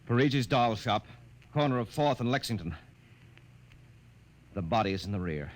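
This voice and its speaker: A man's voice: on the low side, animated, normal speed, slight background noise, natural voice. A man acting in a 1950s radio drama as a stern, short-tempered army colonel, brusque and indignant. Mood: grim.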